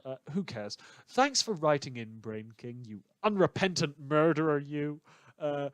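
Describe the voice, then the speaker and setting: Wry, shady male radio host played by a man for an audio drama: sardonic, halting asides, theatrical emphasis, very dry sound. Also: medium pitch, no echo, natural voice. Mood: pointed, dry. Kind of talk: scripted fictional radio broadcast monologue.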